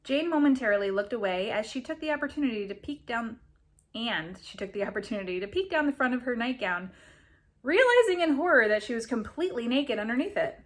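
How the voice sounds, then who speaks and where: A woman reading a story aloud as an expressive narrator. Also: a little high, a little fast. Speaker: reader of a story.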